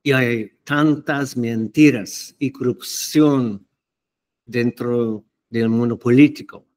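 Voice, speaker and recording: Very slow, halting man teaching the Bible. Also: medium pitch, darkish tone. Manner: hesitant, halting, many fillers and restarts. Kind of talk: unscripted Bible teaching to camera.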